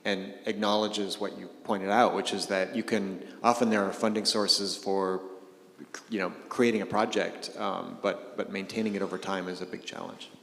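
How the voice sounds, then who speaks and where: Low-voiced man on a panel, echoey. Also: level tone, normal speed, vocal fry, a bit bright. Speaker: conference panelist. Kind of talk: panel discussion. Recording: echoey hall.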